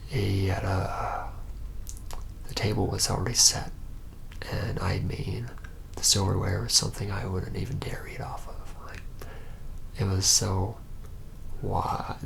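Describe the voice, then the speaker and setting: Man playing a whispering, gravelly young vampire. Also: a bit bright, heavily acted. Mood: uneasy, bemused. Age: young adult. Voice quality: breathy whisper mixed with low creaky murmur. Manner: halting, hesitant, trailing off. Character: reluctant young man turned vampire, nervous and rueful. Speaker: ASMR roleplay performer. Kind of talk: ASMR roleplay, hushed confessional storytelling.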